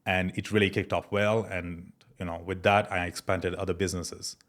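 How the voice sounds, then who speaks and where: A young man from France talks in a low voice as a podcast guest. His manner is relaxed and casual, and he keeps dropping fillers like "bro" and "man" as he tells his story. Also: normal speed. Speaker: guest entrepreneur. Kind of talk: casual interview chat.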